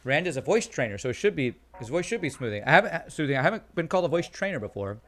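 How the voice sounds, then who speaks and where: Tired-sounding male game streamer, calm voice. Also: fairly high pitch, quick pace. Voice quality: calm, soothing voice. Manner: chatty, reads chat aloud, laughs often. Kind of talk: casual live-stream commentary.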